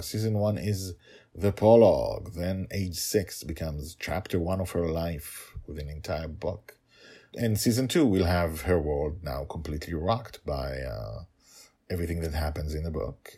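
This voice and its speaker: Deep-voiced, animated male storyteller, slightly husky. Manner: emphatic, playful, repeats himself for effect. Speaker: storytelling podcast host and author. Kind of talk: animated podcast intro and story narration.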